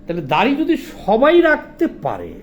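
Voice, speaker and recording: A man's voice: high-pitched, wildly swooping pitch, normal speed. A man with a Bangladeshi accent giving informal video commentary, indignant and argumentative, posing rhetorical questions in colloquial speech. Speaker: social commentator.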